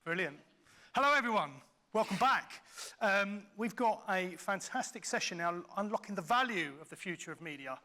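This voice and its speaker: Man, high pitch, forceful bright tone, live stage hosting, hesitant delivery. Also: lively intonation, normal speed. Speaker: event host introducing sessions. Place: conference stage.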